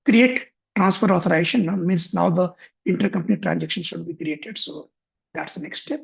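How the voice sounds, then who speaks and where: A man demonstrating finance software on a shared screen, thinking aloud with frequent restarts. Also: high voice, a little fast, gravelly, breathy edge. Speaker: software instructor. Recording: muffled lo-fi computer mic.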